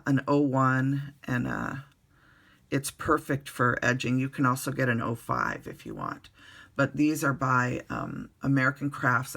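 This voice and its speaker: A woman recovering from the flu, her voice hoarse, chatting casually through a card-making tutorial at a desk in her bedroom with lots of ums and uhs. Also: a bass voice, a bit bright. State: hoarse, getting over the flu.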